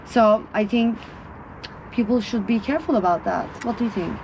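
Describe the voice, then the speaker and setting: A woman's voice: a little high, moderate pace, darkish tone, a little hiss or hum, rough recording. A young adult woman interviewing a friend in a chatty, teasing way. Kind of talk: casual interview chat.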